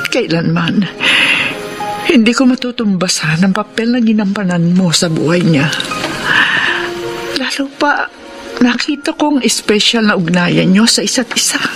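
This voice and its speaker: Older grandmother, loving, remorseful, Filipino accent, radio drama, middle pitch, musical backing. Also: animated, measured pace, long pauses. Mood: remorseful, tender. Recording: music behind the voice.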